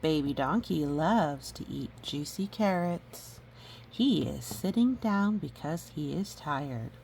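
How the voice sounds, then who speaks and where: A woman reading a storybook aloud gently and adding educational asides. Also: sits low, expressive, takes it slowly, creaky. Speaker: storybook reader. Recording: faint background noise.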